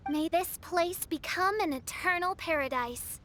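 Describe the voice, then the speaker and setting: A woman's voice: very high voice, unhurried, sharp tone, slight background noise, heavily acted. A woman playing a haughty, theatrical young princess in a video game, pompous and formal with archaic grandeur. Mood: gracious, grand. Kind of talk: video game cutscene acting.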